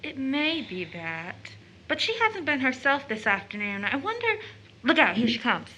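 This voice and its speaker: A woman's voice: high voice, very animated, moderate pace, bright, forceful tone, husky, heavily acted. A woman acting a sweet, spirited, devoted young daughter in an audio drama, girlish and affectionate. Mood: hushed, worried.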